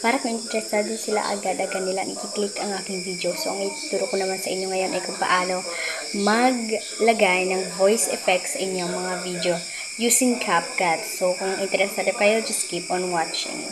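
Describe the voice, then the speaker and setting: A Filipino-accented woman guides listeners through an app in a casual, informal way. She gives step-by-step instructions at a middle pitch. The recording is noisy, with ambient hiss behind her. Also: steady tone, moderate pace. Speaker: host of a video tutorial channel. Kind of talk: casual phone-app tutorial.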